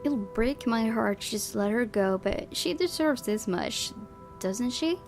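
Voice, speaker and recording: A woman's voice: fairly high pitch, expressive, a little slow. Woman reading a visual novel aloud, expressively. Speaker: gaming video host. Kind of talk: dramatic read-aloud of visual novel narration. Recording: music behind the voice.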